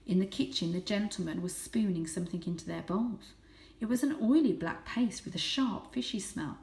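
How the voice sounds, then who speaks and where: A woman reading a children's story aloud as host, with expressive, dramatic and suspenseful narration. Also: medium pitch, moderate pace, vocal fry. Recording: echoey room.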